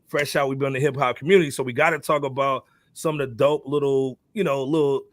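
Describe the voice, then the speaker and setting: A man's voice: mid-range voice, fairly even intonation, fast, no room sound, clean studio sound. A man hosting a casual hip hop podcast, laid-back, tagging "man" onto most phrases. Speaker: podcast host.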